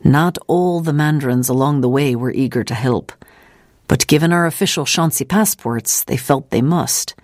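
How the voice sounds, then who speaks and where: A steadfast, composed missionary woman, as an audiobook narrator reads her, recounts hardship gravely and deliberately. Her voice is mid-pitched, recorded close and dry. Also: a little mellow, no room sound, close to an everyday voice. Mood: grave, recollecting.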